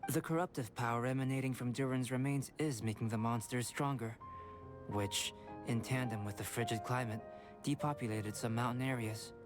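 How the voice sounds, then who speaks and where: Young male game alchemist, played by an actor: composed, formal, detached, measured delivery, music underneath. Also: medium pitch, close to an everyday voice. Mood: calm, analytical. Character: calm, aloof young male alchemist and artist. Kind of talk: scripted video game dialogue.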